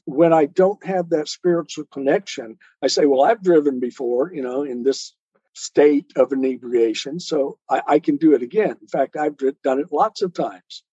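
A man's voice: a little high, normal speed, mellow, husky. A man speaking as an interview guest, earnestly explaining spiritual ideas step by step in a didactic way. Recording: muffled, roomy, lo-fi sound.

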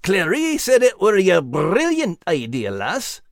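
A man's voice: sits high, wildly swooping pitch, slow pace, vocal fry, dry recording, studio quality, pitched above the performer's own voice, exaggerated voice. A man voicing a cheerful male dog with a broad brogue. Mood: teasing.

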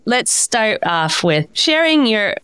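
A woman's voice: a little high, big pitch swings, slow pace, fairly bright tone, clear, resonant tone, dry recording. A woman hosting a podcast, introducing and interviewing a guest. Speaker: podcast host.

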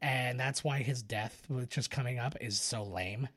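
A man co-hosting a podcast, giving casual film commentary in a halting, self-correcting way. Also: mid-range voice, a bit bright, slightly rough, no room sound. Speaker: podcast co-host.

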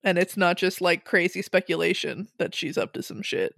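A woman's voice: on the low side, steady tone, normal speed, vocal fry, a bit bright, no room sound. A young woman co-hosting a casual podcast, easygoing and wry, tossing in dry asides. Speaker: podcast co-host. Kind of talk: casual chat.

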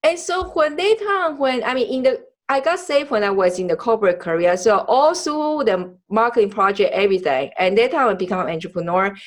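A woman from Taiwan hosting a podcast in a conversational, personal way, halting as she searches for words in non-native English. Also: a little high, lively intonation. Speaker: podcast host. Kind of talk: conversational interview, personal storytelling.

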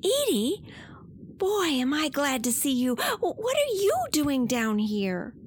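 High-pitched, earnest little octopus kid. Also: female voice, huge pitch swings, slow, full character voice. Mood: earnest, worried. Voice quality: high, childlike. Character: eager, earnest young octopus child, plucky and sweet. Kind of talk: children's audio drama.

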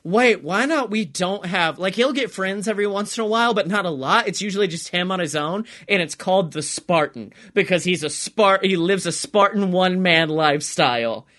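A man co-hosting a comedy podcast, excitedly rambling through ideas in casual, sweary chat. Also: high-pitched, normal speed, hardly any pauses. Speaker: podcast co-host.

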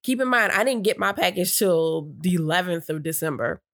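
Woman, lower voice, stuffy nose, chatty and rambling, downplays her own excitement. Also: a little fast, no echo, clean studio sound. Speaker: podcast co-host. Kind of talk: casual storytelling chat.

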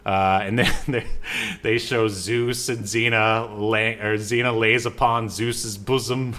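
Halting male podcaster recapping a movie. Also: lowish voice, steady tone, fairly bright tone. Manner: halting, reading from notes with joking asides. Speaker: podcast co-host. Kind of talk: casual scene-by-scene movie recap.

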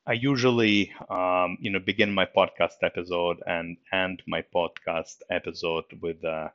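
A middle-aged man from Russia with a Russian accent, hosting a solo podcast episode, speaking haltingly with many fillers in a subdued way. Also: low-pitched, fairly even intonation, fairly bright tone, no echo, dull audio. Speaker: podcast host.

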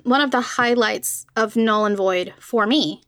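A woman's voice: fairly high pitch, a little slow, fairly bright tone, no echo. A woman hosting a casual podcast interview, affirming and often checking in with tag questions.

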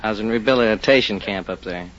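A man's voice: lowish voice, fast, noisy background. A man from Illinois, an auctioneer, being interviewed as a contestant on an old radio quiz. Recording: lo-fi phone-like old radio broadcast.

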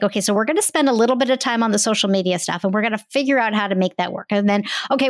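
A woman's voice: mid-range voice, no room sound. Enthusiastic woman, dry close sound. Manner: enthusiastic, explanatory. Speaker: podcast guest and business coach. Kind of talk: casual interview conversation.

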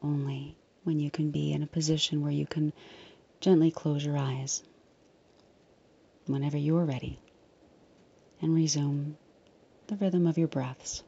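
Woman, guided meditation, husky soft voice, soothing, gentle, slightly muffled lo-fi recording. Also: deep voice, steady tone. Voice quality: soft, breathy, often near whisper. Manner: soothing, unhurried, gently reassuring.

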